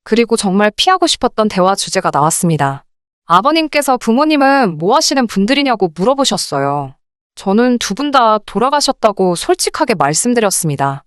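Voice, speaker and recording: A woman's voice: medium pitch, sing-song, brisk, smooth, dry recording, clean studio sound. A woman reading a scripted first-person story as a radio drama narrator.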